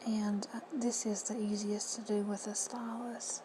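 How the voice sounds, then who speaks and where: A woman with a hoarse, husky voice giving plain, friendly step-by-step instructions in a casual how-to. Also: mid-range voice, little pitch movement, measured pace. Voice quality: whispery, husky. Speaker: reseller tutorial host. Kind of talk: casual screen-recorded how-to. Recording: noisy home recording.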